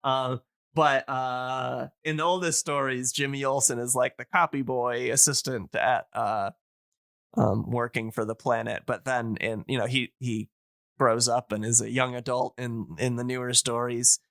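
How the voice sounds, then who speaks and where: Bright, clear-voiced man rambling on a podcast. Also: mid-range voice, steady tone, measured pace, dry recording. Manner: rambling, nerdy explaining. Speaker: podcast host. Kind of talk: casual enthusiast podcast chat.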